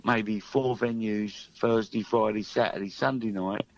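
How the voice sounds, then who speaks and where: Middle-aged male musician guest, lowish voice, dull lo-fi link, rambling, repetitive, casual chat. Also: steady tone, a little slow. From grew up in Australia. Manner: rambling, repeats phrases for emphasis.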